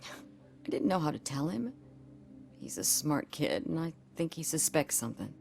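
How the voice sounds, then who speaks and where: An actress playing a worried, bitter wife in a video game, talking in a blunt, plain-spoken way. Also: female voice, deep voice, very animated, moderate pace, gravelly, darkish tone, faint music behind, full character voice. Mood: anxious, sad.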